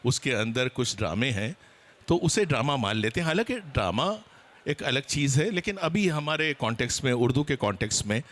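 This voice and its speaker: A man's voice: mid-range voice, big pitch swings, a little fast, fairly bright tone. A man giving an explanatory talk as a panelist at a seminar, checking that listeners follow.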